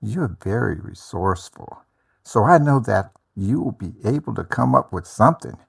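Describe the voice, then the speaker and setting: An actor playing a concerned male therapist in a horror drama, earnest and measured, with a husky voice. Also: lowish voice, lively intonation, heavily acted. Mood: encouraging. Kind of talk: horror audio drama acting.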